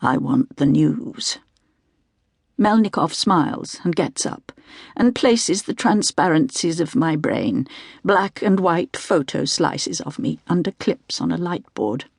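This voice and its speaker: A woman narrates a literary audiobook in the first person. Her voice has a gravelly creak and is recorded close and dry. The delivery is measured and deliberate. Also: low-pitched, expressive, darkish tone, no room sound.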